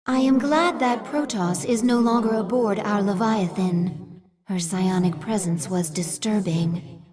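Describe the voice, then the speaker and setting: A woman voicing a loyal alien adviser to a queen, formal and measured, speaking slowly in a mid voice. Game music hums behind her. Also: mid-range voice, a little mellow, lightly acted.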